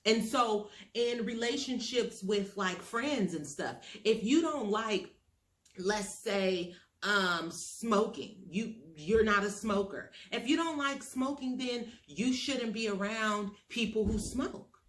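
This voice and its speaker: Woman, earnest motivational speaker, emphatic, repeats key phrases, medium pitch, roomy echo. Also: lively intonation, takes it slowly, a bit bright. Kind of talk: motivational monologue.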